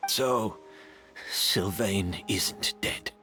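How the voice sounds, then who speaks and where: A man playing a bitter, vengeful master perfumer in a video game drama, deliberate and brooding, with theatrical pauses. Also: lowish voice. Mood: resigned, bitter. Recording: prominent background music under voice.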